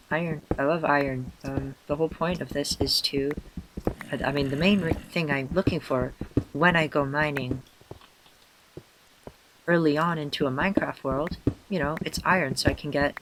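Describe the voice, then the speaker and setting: Tired hoarse dude narrating his gameplay, kinda high voice, lots of ums and restarts, noisy recording. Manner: self-conscious, rambling with many fillers and restarts. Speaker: let's player. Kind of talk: casual gameplay commentary.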